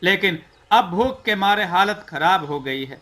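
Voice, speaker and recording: A man's voice: high voice, animated, a little slow, sharp tone, exaggerated voice. A man voicing a confident young storybook prince with an earnest, declamatory delivery. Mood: light-hearted. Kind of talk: storybook character dialogue.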